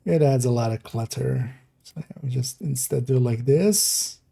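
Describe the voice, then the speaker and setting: A young man from the Netherlands talks casually on a live stream, thinking aloud as he works. His voice is gravelly and mellow, with a dark tone. He wanders from point to point in a loose, unhurried way. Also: medium pitch. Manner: thinking aloud, relaxed and meandering.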